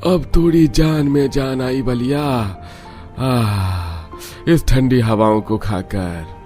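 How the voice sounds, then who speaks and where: A man's voice: medium pitch, slow, mellow, faint music behind, lightly acted. A male actor playing an exhausted, complaining poor villager in a cartoon story. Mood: relieved. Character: exhausted poor villager carrying grain in the heat. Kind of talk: Hindi cartoon story acting.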